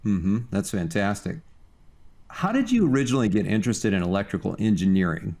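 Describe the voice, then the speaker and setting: Low-voiced man hosting a podcast. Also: big pitch swings, fairly quick, vocal fry, darkish tone. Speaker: podcast host. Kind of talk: scripted podcast introduction and interview.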